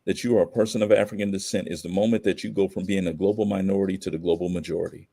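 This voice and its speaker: An American man with a fairly low voice speaks as a guest on a podcast. He is emphatic and opinionated, mixing slang with pointed rhetorical questions as he makes his case. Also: fairly even intonation, rapid-fire, gravelly, dark tone. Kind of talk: opinionated conversational commentary.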